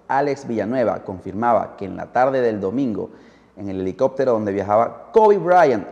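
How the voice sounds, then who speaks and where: A man's voice: medium pitch, dark tone. A man hosting a podcast, narrating from a script in a storytelling style. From Peru. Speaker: podcast host. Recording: muffled, heavy hall-like reverb.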